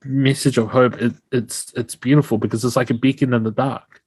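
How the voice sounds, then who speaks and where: A man's voice: mid-range voice, fairly even intonation, brisk, a little mellow, husky, dry recording. A man with a New Zealand accent hosting a casual podcast interview, speaking in an effusive, appreciative way.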